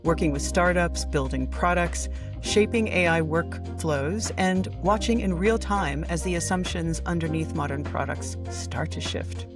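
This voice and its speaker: A woman hosting an interview podcast, speaking in measured, articulate phrases. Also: lowish voice, over music. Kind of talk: scripted introduction, then conversational interviewing.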